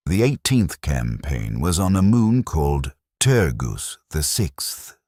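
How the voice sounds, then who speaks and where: A man narrating a scripted first-person war story in a grave, measured, formal manner. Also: a bass voice, big pitch swings, darkish tone, slightly rough, no echo, studio quality.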